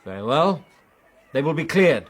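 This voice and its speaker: A young upper-class English gentleman in a radio play. His voice sits fairly high for a man, and he speaks with firm, urgent, articulate conviction, like a dutiful son set on justice. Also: very animated, moderate pace, a faint crowd. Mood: firm, resolute. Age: young adult. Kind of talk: radio drama acting.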